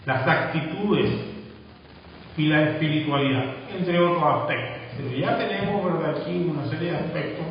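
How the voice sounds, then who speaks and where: A man from Latin America lectures at a steady, measured pace, checking in with short tag questions as he goes. He sounds set back from the microphone in a big reverberant hall, and the recording is dull and muffled. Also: fairly high pitch, slight background noise. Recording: echoey distant room recording, dull.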